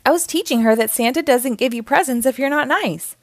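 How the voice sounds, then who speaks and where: A woman voicing an indignant, excitable young girl puppy from a cartoon, with an emphatic, huffy childlike delivery. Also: sits high, a little fast, dry recording, voice pushed up. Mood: huffy, defensive.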